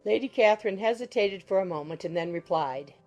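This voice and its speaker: A woman's voice: medium pitch, expressive, fairly quick, darkish tone, soft background music. A woman narrating a classic novel as a scripted audiobook.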